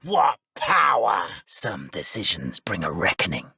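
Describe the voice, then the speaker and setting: A man voicing a pompous, sneering dark wizard villain in a video game, with theatrical, formal, old-fashioned phrasing. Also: low-pitched, wildly swooping pitch, measured pace, gravelly, slightly rough, dry recording, studio quality, heavily acted. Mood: vengeful, gloating.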